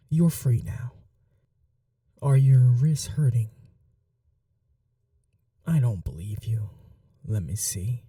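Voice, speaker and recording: A cruel yet doting vampire mistress, acted by a woman for an ASMR roleplay. The voice is soft, breathy and often whispered, with slow delivery and swooping, expressive pitch. It has a very dark, velvety tone and coaxes with possessive tenderness. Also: dry recording, clean studio sound, far from the mic, full character voice. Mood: remorseful, tender. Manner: slow, coaxing, tender with pet names. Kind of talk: intimate ASMR roleplay acting.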